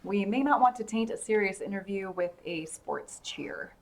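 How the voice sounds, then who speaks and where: Female actor as political press aide, medium pitch, upbeat, savvy, casual, businesslike, radio drama dialogue. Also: normal speed, a little hiss or hum, natural voice. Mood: teasing. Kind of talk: radio theater acting, conversational dialogue.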